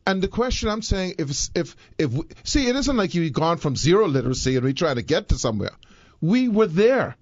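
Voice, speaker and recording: Emphatic lively man hosting radio talk. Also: on the high side, brisk, no echo, muffled recording. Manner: emphatic, theatrical, playful. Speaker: radio talk show host. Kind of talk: live talk radio chat.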